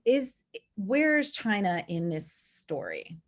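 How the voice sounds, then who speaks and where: A woman's voice: mid-range voice, huge pitch swings, slow. A woman co-hosting a podcast interview, asking questions in a conversational way with some fillers. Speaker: podcast co-host.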